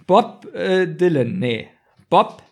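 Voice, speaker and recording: A man's voice: sits high, very animated, slow pace, darkish tone. A man co-hosting a casual chat, bantering and asking questions. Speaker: podcast co-host.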